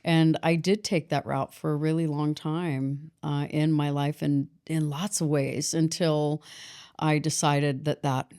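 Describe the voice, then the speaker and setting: A woman in her forties, a podcast guest, telling her life story in a reflective, halting way. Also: low voice, flat delivery, darkish tone, smooth. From Boston, grew up in San Diego. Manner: reflective, halting, with restarts and fillers. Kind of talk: personal story in a podcast interview.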